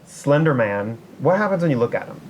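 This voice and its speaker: A woman's voice: rumbling low, big pitch swings, fairly quick, crowd noise, poor audio. A woman co-hosting a podcast in casual chat, chiming in briefly and agreeably. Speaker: podcast co-host.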